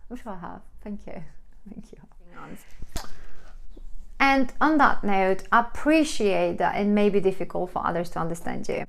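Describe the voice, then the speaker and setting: A woman's voice: medium pitch, measured pace, vocal fry, fairly bright tone. A woman with a Polish accent gives encouraging workplace advice in her second language. She talks earnestly to the camera, restarting phrases and reaching for fillers as she goes. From Poland. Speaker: vlogger giving workplace advice. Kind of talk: direct-to-camera advice talk.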